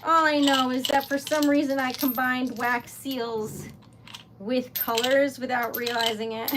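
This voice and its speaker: A woman's voice: high voice, noisy background. A woman with a Rhode Island accent hosting a casual craft livestream, chatting to her viewers, laughing often and rambling as she goes. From New England. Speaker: livestream host. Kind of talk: casual chat while crafting.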